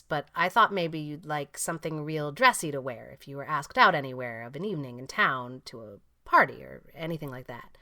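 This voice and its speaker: A woman's voice: deep voice, bright, forceful tone, no echo, clean studio sound. A woman playing a practical, reserved older farm woman who speaks plainly in an audiobook drama.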